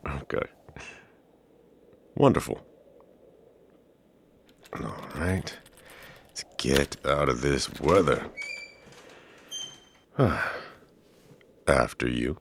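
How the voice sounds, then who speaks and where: Very deep, creaky-voiced flirty art professor played by a man. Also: lively intonation, a little hiss or hum, heavily acted. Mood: friendly, welcoming. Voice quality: very deep, gravelly.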